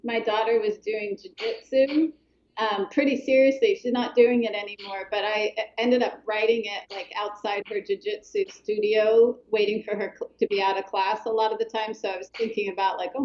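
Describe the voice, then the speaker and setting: A middle-aged woman from Colorado, speaking as an author guest in a thoughtful, hesitant way, with many pauses and restarts. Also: mid-range voice, fairly even intonation, darkish tone, echoey room, lo-fi sound. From Colorado, USA. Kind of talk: reflective interview talk.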